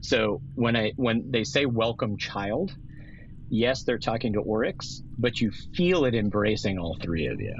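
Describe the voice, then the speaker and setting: A man narrating a tabletop roleplaying game as game master, descriptive and pausing for dramatic effect. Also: on the low side, very animated, lo-fi sound, slight background noise. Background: music bed.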